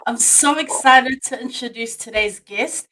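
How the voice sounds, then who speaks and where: Woman, podcast host, high voice, bubbly, casual slang, video call. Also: measured pace, pushed and bright, rough recording. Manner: bubbly, laughs often, says "sis" and "fam". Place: Japan.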